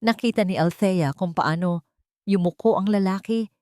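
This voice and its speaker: A woman's voice: mid-range voice, normal speed, mellow, no echo, studio quality. A woman reads a scripted romance drama as a storyteller.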